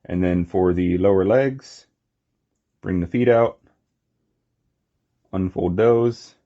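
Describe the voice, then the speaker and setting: A man giving a casual, unscripted hands-on toy review, talking in short, halting phrases on a slightly muffled recording. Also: sits low. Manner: halting, short phrases while handling objects.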